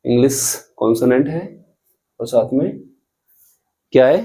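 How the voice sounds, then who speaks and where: A man teaching a grammar lesson, explaining rules slowly and spelling out examples, often asking the students questions. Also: medium pitch, mellow, husky. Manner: slow, deliberate, repeats and spells out examples.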